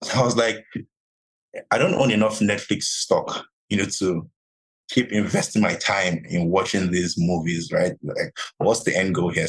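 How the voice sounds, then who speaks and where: Deep husky-voiced Nigerian-born man. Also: poor audio. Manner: measured, many "you know" fillers. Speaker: podcast guest, real estate investor. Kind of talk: conversational interview answers.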